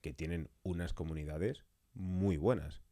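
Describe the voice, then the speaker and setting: Deep, mellow-voiced man from a podcast interview. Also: unhurried, no echo, clean studio sound. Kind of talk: conversational interview with long reflective questions.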